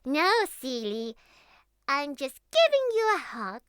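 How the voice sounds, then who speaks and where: Very high-pitched, childlike, clingy catgirl. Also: huge pitch swings, takes it slowly, fairly bright tone, no echo, heavily acted. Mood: tender. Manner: childlike, pouty, stammering, affectionate. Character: young catgirl, former cat, clingy, playful, naive. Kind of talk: ASMR roleplay.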